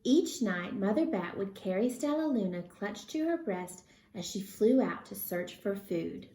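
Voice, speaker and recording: A woman reads a children's story aloud. Her narration is expressive and takes its time, with slow phrasing. The sound is roomy and echoing. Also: medium pitch. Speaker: storybook reader.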